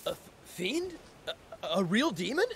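A man playing an excitable, timid young militia grunt in a video game, stammering and melodramatic. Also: sits high, measured pace, gravelly, slight background noise, full character voice. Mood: frightened. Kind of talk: video game cutscene acting.

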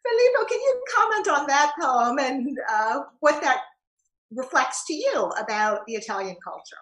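A woman's voice: high voice, very animated, normal speed, fairly bright tone. A woman hosting an interview over a video call, asking short questions. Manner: brief prompts and questions. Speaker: host. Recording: roomy video call audio.

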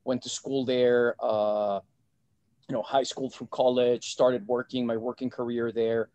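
Middle-aged man from Mexico, relaxed and chatty, restarts his sentences a lot, speaks from a home office over a video call, dry and close audio with no room sound, a voice of ordinary mid pitch. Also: a little slow, dry recording, lo-fi sound. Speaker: guest, investment bank founder.

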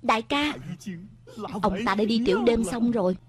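A woman's voice: a little high, normal speed, husky. A woman reading all the parts of a cartoon as a scripted voice-over. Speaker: voice-over dubber. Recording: loud music under the voice-over.

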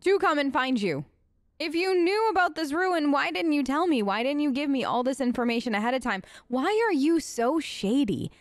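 A woman's voice: high-pitched, animated, normal speed, dry recording, clean studio sound. A woman hosting a role-play let's play, talking casually and informally as she improvises in character.

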